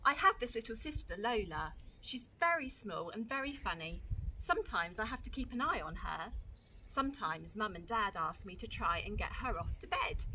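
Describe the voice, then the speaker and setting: Bright, animated woman reading a storybook. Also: mid-range voice, slight background noise, low-quality recording. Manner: expressive, addresses young listeners. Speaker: teaching assistant reading aloud. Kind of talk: children's storybook narration.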